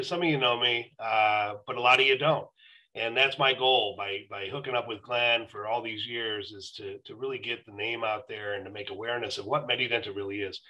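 A man's voice: medium pitch, pushed and bright, low-quality recording. A middle-aged man on a video call, explaining his company as a guest on a live stream. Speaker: dental company executive, guest. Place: room at home or office, indoors.

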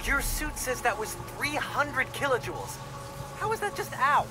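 A man's voice: extremely high pitch, very animated, normal speed. An actor playing a nerdy teenage male sidekick in a video game, joking drily and often incredulous. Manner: dry, geeky joking. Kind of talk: video game cutscene acting. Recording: loud music behind the voice.

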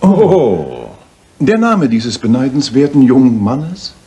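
An actor in a German radio drama playing a shrewd, courteous detective with a husky, raspy voice and a deliberate, questioning delivery. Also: male voice, mid-range voice, very animated, mellow, full character voice. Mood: courteous, probing. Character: shrewd, courteous, probing male Victorian detective.

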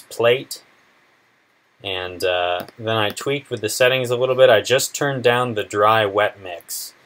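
A man in his twenties presenting a casual software tutorial in an informal, halting, unscripted way. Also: fairly low pitch, poor audio. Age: 20s.

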